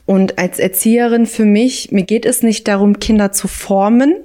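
A woman in her twenties from the Ruhr area, a kindergarten teacher, speaking thoughtfully with filler pauses. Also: mid-range voice, fairly even intonation, mellow. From Ruhr area, Germany. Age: 20s. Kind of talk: interview answers.

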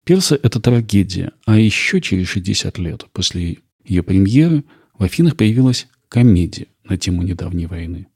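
A man narrating a scripted history programme as host, in a measured, explanatory way. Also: low-pitched, lively intonation, darkish tone, husky, no echo, clean studio sound.

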